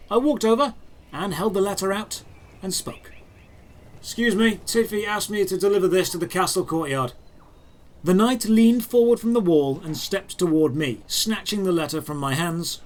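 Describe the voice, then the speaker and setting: A man giving dramatic, scripted first-person narration for a fantasy audio drama. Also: high-pitched.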